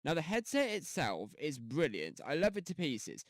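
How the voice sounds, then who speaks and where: A man's voice: fairly high pitch, wildly swooping pitch, normal speed, no room sound, clean studio sound. A man hosting a casual audio-editing tutorial on a headset mic, rambling with fillers and restarts. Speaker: YouTube tutorial host. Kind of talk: casual screen-recorded tutorial. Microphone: gaming headset mic.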